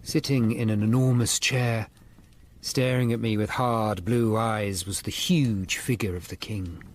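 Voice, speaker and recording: An actor playing a grave Tudor lawyer-detective who narrates a radio drama in the first person, measured and sombre. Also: male voice, on the low side, steady tone, slight background noise, close to an everyday voice. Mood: apprehensive.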